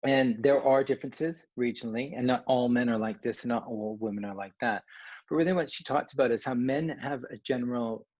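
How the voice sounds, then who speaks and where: A man's voice: mid-range voice, a little fast, a little mellow, no echo, muffled recording. A Canadian man, a coach, giving an online workshop presentation in a conversational way, with restarts and asides.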